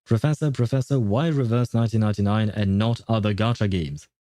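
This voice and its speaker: Male streamer, low relaxed mellow voice, dry close sound, rambling casual chat with playful grumbling. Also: fairly quick, no room sound. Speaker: livestream host, gaming streamer. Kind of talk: casual livestream chat with viewers.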